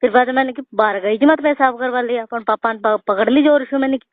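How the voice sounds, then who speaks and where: A woman's voice: fast talker, no echo. A woman arguing insistently in an informal phone conversation, often repeating herself. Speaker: daughter-in-law on a family phone call. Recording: muffled low-fidelity phone call recording.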